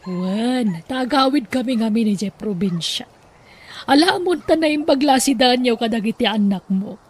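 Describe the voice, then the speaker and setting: Radio drama casting: well-off lady employer acted by a woman, high pitch, kindly insistence, northern Philippine accent. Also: animated, normal speed, breathy edge. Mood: kindly, insistent.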